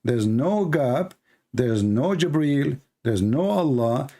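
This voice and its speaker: A man's voice: medium pitch, lively intonation, slow pace, dark tone. A man whose first language is Arabic, hosting a heated debate and pressing his points insistently, repeating himself and cutting in. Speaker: debate host. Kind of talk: argumentative debate.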